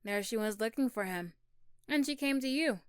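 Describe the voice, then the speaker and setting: A woman reading scripted second-person story narration for ASMR, soft and hushed, often slipping toward a whisper. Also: on the high side, expressive, moderate pace, clean tone, no echo, clean studio sound. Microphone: close, dry microphone.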